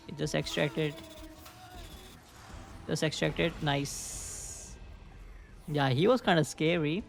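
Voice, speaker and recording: A man's voice: a little high, takes it slowly, gravelly, faint music behind. A young man casually commenting on a video game, thinking aloud and rambling with lots of filler words. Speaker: gaming video creator. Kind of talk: casual let's play commentary.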